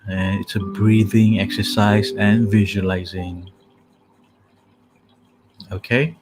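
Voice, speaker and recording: A man with a calming, soothing voice, softly hosting a casual sleep meditation talk with many hesitant fillers. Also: on the low side, slow pace, noisy background. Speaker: host. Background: calming music.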